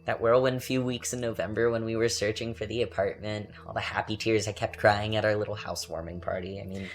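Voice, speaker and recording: A man acting as a bitter, taunting young man in a confessional dramatic monologue, with a sardonic, halting, conversational delivery. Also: on the low side, fairly even intonation, natural voice. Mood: wistful. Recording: music under the voice. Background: music.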